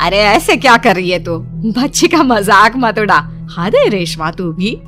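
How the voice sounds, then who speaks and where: A woman's voice: medium pitch, big pitch swings, measured pace, fairly bright tone. Casting: woman doing a friendly village gossip for a cartoon tale, chatty and earnest, music bed. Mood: scolding.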